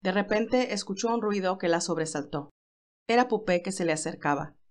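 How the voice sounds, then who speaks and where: A woman's voice: medium pitch, normal speed, darkish tone. A woman reading a scripted romantic story as its narrator, in a dramatic storytelling style.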